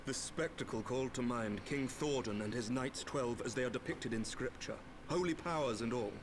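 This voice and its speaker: An actor playing an earnest, formal young nobleman knight in a video game, speaking in measured archaic phrases. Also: mid-range voice, background noise, lightly acted. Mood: grave, thoughtful. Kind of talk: dramatic video game voice acting.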